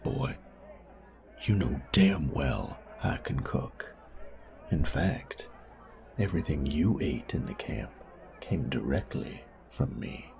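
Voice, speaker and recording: Man acting a very deep, gravelly sadistic bandit. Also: darkish tone, exaggerated voice. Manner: taunting, drawling, drops to breathy murmurs. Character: menacing, sadistic male bandit, crude and mocking. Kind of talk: audio roleplay drama acting.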